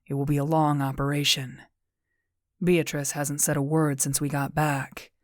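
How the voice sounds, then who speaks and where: Woman with measured, brooding narration. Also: low voice, little pitch movement, dry recording, studio quality. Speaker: narrator and lead character. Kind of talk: first-person drama narration.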